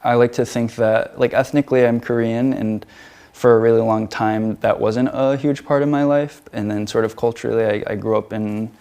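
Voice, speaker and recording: A man's voice: medium pitch, fairly even intonation, normal speed, warm tone, clean tone. A young man from the United States, a featured artist answering interview questions in a hesitant way, with many fillers and restarts.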